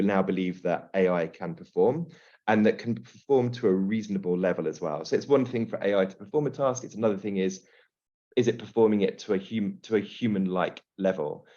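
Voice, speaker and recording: Male webinar presenter, deep voice, measured and thoughtful, restarts mid-sentence, muffled audio. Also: darkish tone. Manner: thoughtful, with restarts and self-corrections. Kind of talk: explaining to an audience.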